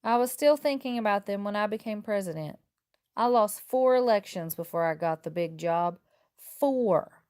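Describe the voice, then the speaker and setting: A woman reading a children's picture book aloud like a teacher, with expressive, deliberate and emphatic phrasing. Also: fairly low pitch, dry recording, clean studio sound. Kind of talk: expressive children's storybook read-aloud in first person.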